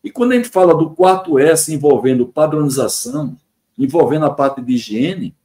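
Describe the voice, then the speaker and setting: Higher-pitched Brazilian man in his sixties. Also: expressive, moderate pace, dark tone. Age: 60s (62). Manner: earnest, emphatic repetition and listing. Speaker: podcast guest, engineering consultant. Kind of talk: explanatory interview answer, semi-formal.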